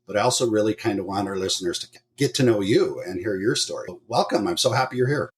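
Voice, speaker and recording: A man's voice: lowish voice, expressive, fast talker. A man hosting an adventure travel podcast, speaking in an upbeat, enthusiastic way. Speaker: podcast host. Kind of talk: scripted intro, then casual interview.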